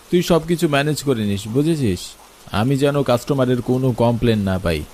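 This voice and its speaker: A man's voice: mid-range voice, mellow, lightly acted. A man voicing a bossy, worried middle-aged hotel owner in a cartoon ghost story, with music behind.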